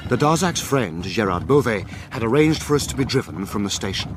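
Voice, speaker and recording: An actor playing a grave gentleman who narrates a mystery adventure in a literary, measured and ominous manner. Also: medium pitch, lively intonation, close to an everyday voice. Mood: urgent, grave. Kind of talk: dramatic scripted narration. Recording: clear ambient background noise.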